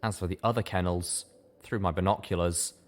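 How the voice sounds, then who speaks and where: A man's voice: low voice, steady tone, fairly quick. A man narrating a scripted adventure story in a dramatic reading style.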